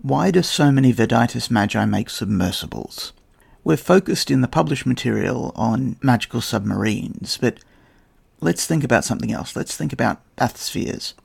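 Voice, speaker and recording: Croaky, gravelly-voiced male podcast host. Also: medium pitch, expressive. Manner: measured, evocative storytelling delivery. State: slightly croaky voice. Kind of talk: scripted narration on history and tabletop role-playing.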